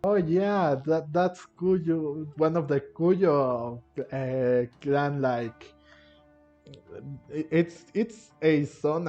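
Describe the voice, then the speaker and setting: A man giving casual gameplay commentary, halting and often repeating or rephrasing himself. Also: on the high side, dark tone. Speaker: gamer narrating a playthrough. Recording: muffled lo-fi mic, game music behind. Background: video game music.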